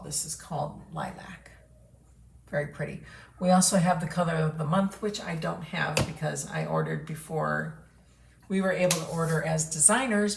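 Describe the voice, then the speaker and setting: A woman hosting a casual craft product showcase, chatty and unhurried, who is losing her voice and clears her throat often. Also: low-pitched. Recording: echoey room with background noise.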